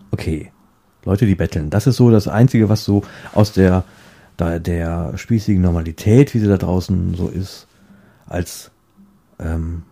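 A man chatting casually in a rambling, halting way, often repeating words as he searches for the next one. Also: deep voice, gravelly, dark tone, husky.

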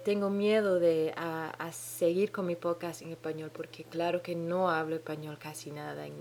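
A woman with a Dominican-tinged Spanish accent hosts her own podcast alone, speaking slowly in a somewhat low voice. She hesitates often, restarting phrases as she searches for the right words. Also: darkish tone. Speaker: podcast host. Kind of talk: solo podcast monologue. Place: home in New Zealand.

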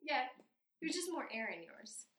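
A woman's voice: high-pitched, sing-song, normal speed, a bit bright, echoey room. A woman co-hosting a podcast, casually recapping a cartoon episode with frequent laughs. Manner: laughs often, chatty, drops to a near whisper at times. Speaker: podcast co-host.

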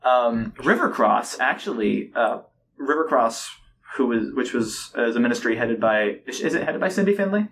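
A man's voice: mid-range voice, expressive, moderate pace, a bit bright. Young man chatting over background noise. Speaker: podcast host. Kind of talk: casual chat.

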